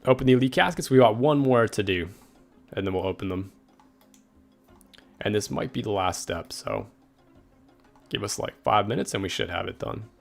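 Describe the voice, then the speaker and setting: A young man with a low, gravelly voice talks loosely while streaming a game. His talk is relaxed and rambling, full of fillers as he answers chat. Faint game music runs underneath. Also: moderate pace, long pauses. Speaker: gaming livestreamer. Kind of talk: casual livestream chat.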